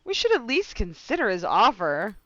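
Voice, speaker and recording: A woman streaming a game, casually reacting and reading choices aloud in an expressive, irreverent, giggly way. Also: a little high, moderate pace, dry recording, lo-fi sound.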